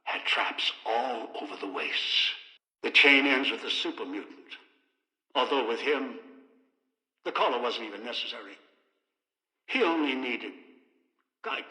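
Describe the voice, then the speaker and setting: An actor playing a bitter, scheming old man in a video game, speaking in clipped, deliberate, menacing phrases. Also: mid-range voice, expressive, pushed and bright. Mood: cynical, instructive. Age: older. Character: bitter, scheming old man obsessed with pre-war technology. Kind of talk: video game character dialogue. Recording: echoey, long reverb.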